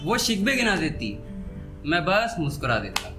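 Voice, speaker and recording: A man performing a live poetry recital, repeating couplets with deliberate pauses and speaking to listeners between verses. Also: high-pitched, big pitch swings. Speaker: poet performing on stage. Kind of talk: live Hindi-Urdu poetry recital with spoken asides. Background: soft instrumental background music.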